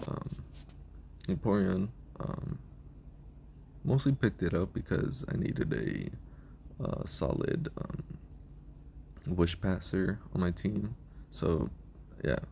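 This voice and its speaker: A hoarse man with a raspy voice that is giving out, giving a casual, rambling breakdown of his team with lots of ums and restarts. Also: low-pitched, big pitch swings, takes it slowly, long pauses, warm tone, a little hiss or hum. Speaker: video creator explaining his team.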